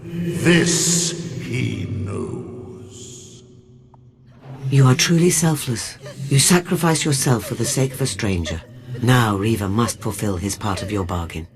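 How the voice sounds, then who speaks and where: A man's voice: on the low side, animated, creaky, roomy sound, long reverb tail, a little hiss or hum, heavily acted. A man voicing a sinister, solemn judge of a shadowy undead court in a fantasy video game. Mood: solemn menace. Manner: slow, solemn, menacing.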